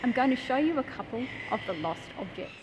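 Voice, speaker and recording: A woman's voice: fairly high pitch, animated, brisk, lo-fi sound. A woman in her thirties presenting and explaining to young readers, speaking expressively and directly with emphatic phrasing. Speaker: host of a children's picture book channel. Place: large industrial hall with old machinery. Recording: clear ambient background noise.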